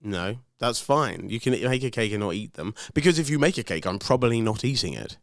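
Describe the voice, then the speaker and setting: A man's voice: lowish voice, expressive, brisk, dry recording, clean studio sound. A man of about forty, co-hosting a podcast with casual, teasing banter. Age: around 40. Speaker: podcast co-host.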